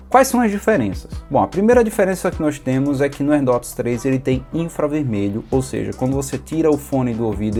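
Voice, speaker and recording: A man with a Brazilian Portuguese accent giving an informal, organized product review in a conversational, explanatory way. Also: on the high side. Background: background music.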